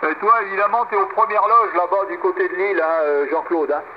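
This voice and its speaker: A man's voice: high-pitched, fairly even intonation, fairly quick. A man chatting hesitantly as an amateur operator over single-sideband shortwave radio. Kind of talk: casual radio exchange with call signs. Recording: muffled shortwave radio, loud static. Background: loud radio noise.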